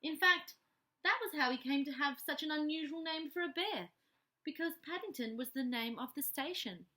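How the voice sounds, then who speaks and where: A woman reading a children's story aloud in an expressive, animated way, pausing to put questions to young listeners. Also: high-pitched.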